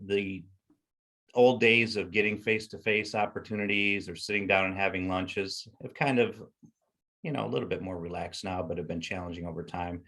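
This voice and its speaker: A middle-aged man hosts a business panel over a video call. His voice sits fairly low. He sounds upbeat and chatty and fills gaps with ums and you-knows. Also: a bit bright. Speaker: panel host. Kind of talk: video call webinar hosting.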